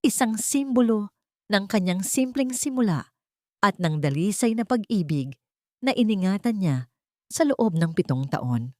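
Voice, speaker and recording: A woman narrating a sentimental love story in a measured, solemn, dramatic way. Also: medium pitch, very animated, a little mellow, dry recording, clean studio sound.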